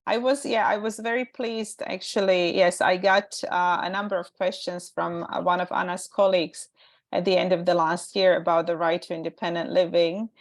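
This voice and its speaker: Woman, Croatian accent, slightly low voice, webinar moderator, informal, hesitant, self-rephrasing. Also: level tone, normal speed. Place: online webinar call.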